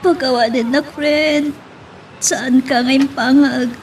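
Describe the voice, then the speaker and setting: A woman with an Ilocano Filipino accent playing a troubled, remorseful married woman in an audio melodrama, halting and pleading. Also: sits high, fairly even intonation, a little hiss or hum. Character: distressed pregnant married woman, remorseful, confessing an affair. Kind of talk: Ilocano radio-style melodrama acting.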